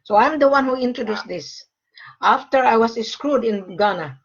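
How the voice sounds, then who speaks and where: A woman's voice: fairly high pitch, animated, normal speed, breathy edge, muffled recording. Older woman from the Philippines on a call. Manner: emphatic, repeats key phrases. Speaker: gold sales facilitator. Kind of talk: casual call conversation.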